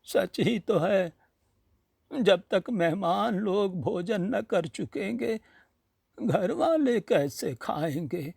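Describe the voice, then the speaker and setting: A man's voice: sits high, expressive, very slow, vocal fry, a little mellow, slightly rough, no room sound, studio quality, voice pushed up, exaggerated voice. A man voicing a frail old village woman, hungry and brooding, muttering plaintively to herself in a storybook reading. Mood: remorseful.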